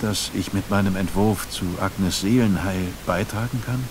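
A man playing a deep-voiced, earnest builder. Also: moderate pace, close to an everyday voice. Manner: earnest, sincere. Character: earnest grieving male master builder. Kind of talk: game voice acting. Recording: noticeable hiss.

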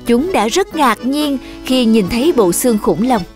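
A woman's voice: fairly high pitch, big pitch swings, a little slow, darkish tone. A woman narrator reading a scripted children's story. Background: music.

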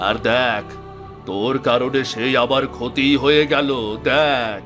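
A man's voice: mid-range voice, measured pace, a bit bright, soft background music, close to an everyday voice. A man voicing an angry, domineering landlord in a cartoon folktale, scolding in an imperious way.

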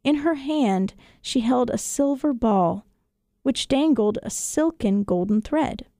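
A woman narrates children's folktales as a storyteller. Her voice is fairly high and moves around with lively expression, recorded dry and close in a studio. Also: slow, no echo. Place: radio studio.

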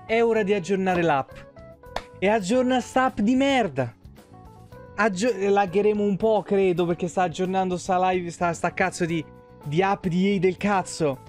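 A man's voice: high voice, moderate pace, soft background music. A young man, chatting casually on a game livestream in an informal, rambling, sweary way. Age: young adult. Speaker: video game streamer. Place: small room indoors at a streaming desk. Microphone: desk microphone close up, with headset.

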